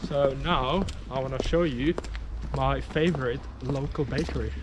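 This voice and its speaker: A man's voice: medium pitch, moderate pace. Young Czech man, rambling chat outdoors. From Czech Republic. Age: 20s. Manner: rambling, many fillers. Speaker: local guide. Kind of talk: casual chat. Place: town streets outdoors. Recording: outdoor street noise.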